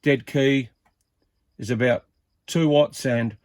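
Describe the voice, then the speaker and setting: A man's voice: medium pitch, fairly even intonation, slow, darkish tone, dry recording. A man giving a casual hands-on demonstration as a radio hobbyist, rambling informally and thinking aloud as he goes.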